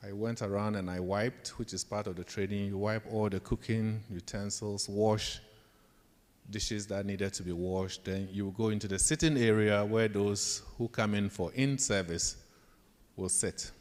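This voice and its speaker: The sound is a big hall with long reverb around a man's lowish voice. He has a Ghanaian accent and speaks as a professor giving a talk. His delivery is anecdotal, slipping into bits of acted-out dialogue as he tells stories to students. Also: steady tone, a little slow, darkish tone. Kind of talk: storytelling leadership talk to students.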